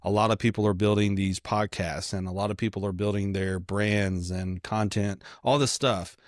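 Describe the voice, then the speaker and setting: A man's voice: fairly low pitch, fairly even intonation, moderate pace, dry recording, clean studio sound. A man from Kentucky with a mild Southern American accent, chatting casually as a podcast co-host in a rambling, joking, self-deprecating way. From Kentucky, United States.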